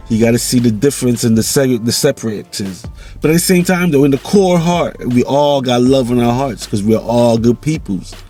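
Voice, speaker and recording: Jamaican male vlogger, mid-pitched, easygoing and rambling, faint music bed. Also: mellow. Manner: loose, rambling, repeats "you know". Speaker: vlog host. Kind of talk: casual monologue vlog.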